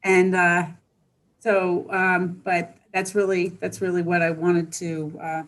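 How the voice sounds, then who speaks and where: Female village planner, lowish even voice, matter-of-fact and halting, briefing colleagues over a video call. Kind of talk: informal meeting update over video call. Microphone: video call microphone.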